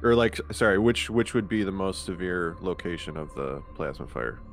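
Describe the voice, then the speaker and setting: A man chatting casually as a player in a tabletop game, in a hesitant, halting way. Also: low voice, expressive, soft background music.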